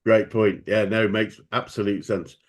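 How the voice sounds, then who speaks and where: A man's voice: fairly low pitch, steady tone, unhurried. Man interviewing, encouraging. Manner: encouraging, frequent affirmations. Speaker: interviewer, business coach. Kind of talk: conversational interview.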